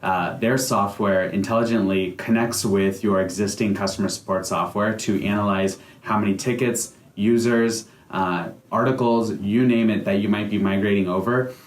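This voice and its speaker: An earnest American man speaks in a low voice, telling his story as a founder in a testimonial. His talk is padded with plenty of "uh" and "um" fillers. The room around him is echoey. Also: fairly even intonation, moderate pace, few pauses. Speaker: company founder giving a customer testimonial. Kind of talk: testimonial to camera, semi-prepared speech.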